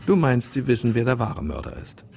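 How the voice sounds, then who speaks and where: A man's voice: mid-range voice, sing-song, brisk, darkish tone, faint music behind. An actor playing a worldly, wary older father in a crime radio play, speaking dryly and cautiously. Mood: guarded.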